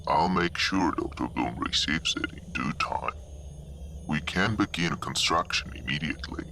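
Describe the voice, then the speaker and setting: A man plays a grave secret contact in an audio drama. His voice is rock-bottom low and gravelly, formal and terse, amid clear background noise. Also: unhurried, sharp tone, full character voice. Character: grave, shadowy male contact giving orders.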